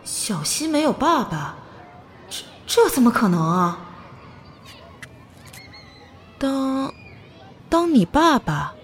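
A woman playing a gentle, easily flustered young woman who dotes on a child in an audio drama, soft and hesitant. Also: high voice, big pitch swings, slow, slight background noise, full character voice. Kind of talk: Chinese audio drama acting.

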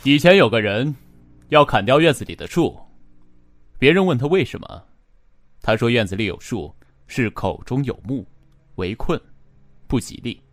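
A man acting as a calm, shrewd, enigmatic fortune teller in a radio suspense drama, speaking in measured, cryptic phrases. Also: medium pitch, no room sound, close to an everyday voice. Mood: pointed, insinuating. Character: calm, enigmatic, shrewd male fortune teller who reads written characters.

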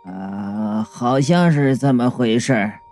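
A man voicing a confused elderly village woman who mutters haltingly in an audiobook. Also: medium pitch, a little mellow, faint music behind, pitched below the performer's own voice, natural voice. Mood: confused muttering. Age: older. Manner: halting, hesitant mumbling.

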